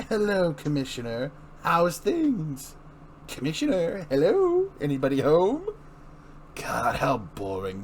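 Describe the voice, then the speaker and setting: A man's voice: mid-range voice, slight background noise. A man voicing a mocking, cheerfully cruel villain clown with sardonic, theatrical delivery in a comic-book reading.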